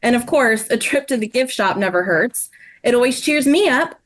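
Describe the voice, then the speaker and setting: A woman playing a sweet, eager, helpful friend in a children's story table read, with friendly, expressive delivery. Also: a little high, moderate pace.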